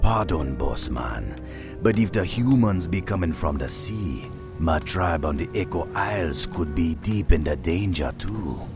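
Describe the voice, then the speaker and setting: A man's voice: low-pitched, animated, unhurried, over music. A man voicing a worried male troll tribesman speaking in a patois in a video game cutscene. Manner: troll patois, "the humans be coming".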